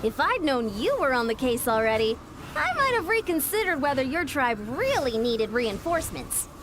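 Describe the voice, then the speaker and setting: A woman's voice: high-pitched, big pitch swings, moderate pace, very noisy. A woman voicing an eager, practical young warrior in a video game, upbeat and decisive. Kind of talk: video game character acting. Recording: music under the dialogue.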